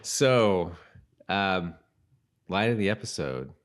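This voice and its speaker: A man co-hosting a podcast in casual chat, talking in a halting way and repeating himself as he thinks aloud. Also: low voice, lively intonation. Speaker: podcast co-host.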